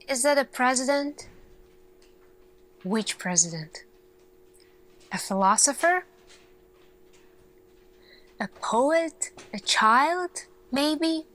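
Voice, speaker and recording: A woman playing an earnest, thoughtful lead scientist in an ASMR roleplay, speaking in a hushed, deliberate way with pauses and searching questions. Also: high voice, animated, exaggerated voice. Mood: hushed, probing.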